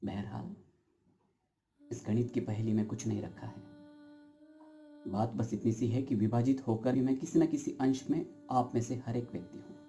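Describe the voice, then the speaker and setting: A man performing a stage monologue as a brooding, uncertain everyman, speaking deliberately and philosophically with dramatic emphasis straight to the audience. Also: mid-range voice, fairly even intonation, dark tone, lightly acted. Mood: brooding, reflective. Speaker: actor performing an audition monologue.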